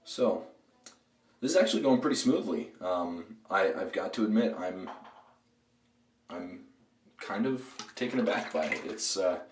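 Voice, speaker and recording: A man with a hoarse voice from a throat cold, talking casually and rambling with lots of fillers while reviewing a shave product as host of his shaving videos. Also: deep voice, a little slow, pauses often, reverberant, distant mic, slight background noise. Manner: relaxed, rambling, many fillers.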